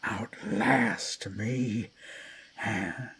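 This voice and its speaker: A man playing a predatory male werewolf in an ASMR roleplay, menacing and seductive, theatrical, with a gravelly voice that often drops to whispers. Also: fairly low pitch, drawn-out words, a bit bright, heavily acted. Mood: predatory, threatening. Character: predatory male werewolf toying with his prey. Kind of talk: ASMR roleplay, dramatic monologue.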